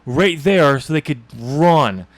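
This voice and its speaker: A man's voice: on the high side, lively intonation, slow pace, dry recording. A man co-hosting a podcast, casually discussing a book in an informal, chuckling way. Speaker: podcast host.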